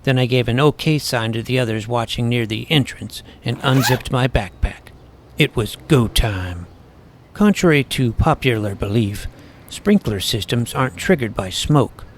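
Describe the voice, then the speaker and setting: A man reading a first-person story aloud in a wry, deadpan manner. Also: mid-range voice, normal speed, a little hiss or hum. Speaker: audiobook narrator. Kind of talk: first-person scripted narration.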